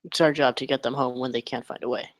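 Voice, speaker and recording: A man's voice: mid-range voice, fairly even intonation, quick pace, no room sound, close to an everyday voice. A man reading a terse, tough male opium den keeper at a screenplay table read, in clipped phrases. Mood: resolute.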